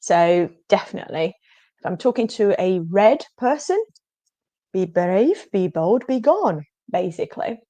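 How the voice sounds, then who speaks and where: A woman's voice: medium pitch, sing-song, measured pace, dark tone, no echo. A woman whose first language is Portuguese, answering interview questions in an earnest, emphatic way over a video call. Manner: earnest, emphatic, often says absolutely. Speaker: guest.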